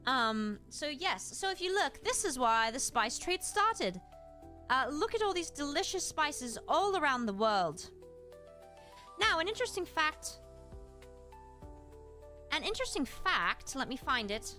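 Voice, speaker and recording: Woman, British accent, bright crisp-edged tone, animated intonation, gracious explanatory streamer teaching, faint background music. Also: high-pitched, unhurried, long pauses. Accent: British, put on. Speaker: live streamer and host giving a lesson. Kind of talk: livestreamed educational lesson with chat replies.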